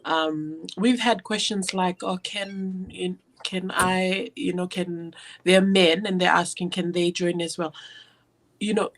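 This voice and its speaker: A woman's voice: on the low side, fairly even intonation, slow pace, a little hiss or hum. A woman from Fiji hosting a live online business stream, chatting casually with many fillers and restarts.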